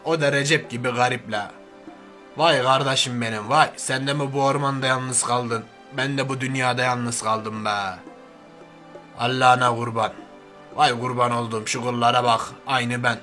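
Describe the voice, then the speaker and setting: A man's voice: medium pitch, sharp tone, natural voice. A man voicing a brash, grumbling, slangy macho man turned reluctant superhero in a cartoon parody. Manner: blustering, slangy, threatening then sentimental. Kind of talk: cartoon parody character dub.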